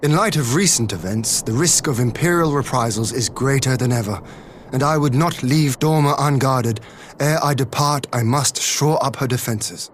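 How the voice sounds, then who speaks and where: An actor playing a dignified, dutiful lord in a video game, speaking in a formal, measured, stately way. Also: male voice, mid-range voice, a little hiss or hum, close to an everyday voice. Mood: grave, resolute. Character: dignified, dutiful male lord guarding his homeland. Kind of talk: video game cutscene voice acting.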